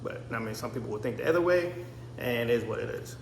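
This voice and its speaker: A man's voice: medium pitch, lively intonation, fast, vocal fry, slight background noise. A man in his thirties from Barbados, raised in the US, telling a personal story in a rambling vlog with many restarts. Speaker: vlogger. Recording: echoey room.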